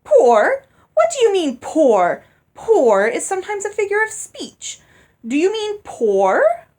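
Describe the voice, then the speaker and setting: A woman's voice: very high voice, huge pitch swings, takes it slowly, a little mellow, pitched above the performer's own voice, full character voice. A woman voicing a vain, greedy older stepmother in a storybook, pouty and overwrought. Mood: shocked.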